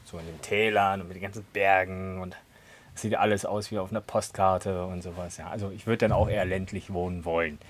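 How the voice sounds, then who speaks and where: A young man from Berlin chatting casually, rambling with lots of fillers. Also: low voice. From Berlin, Germany. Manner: rambling, many fillers and "und sowas". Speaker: conversation partner. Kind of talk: casual chat.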